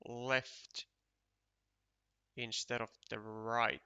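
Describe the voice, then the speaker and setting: A man with a Finnish accent giving casual, unhurried commentary on a board game match for a live stream, chatty and full of fillers. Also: medium pitch, flat delivery, bright, forceful tone, smooth, muffled recording.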